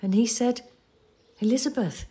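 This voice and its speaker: A woman acting in an audio play as a devoted, patient partner, gently retelling a cherished love story at a measured, storytelling gait. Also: on the high side, husky, soft background music. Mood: tender, amused.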